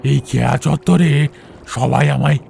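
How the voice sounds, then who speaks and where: A man playing an eerie, humble old village boatman ghost in an audio horror story, with a creaky, gravelly voice. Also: mid-range voice, fairly even intonation, unhurried, warm tone, slight background noise. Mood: humble, cryptic.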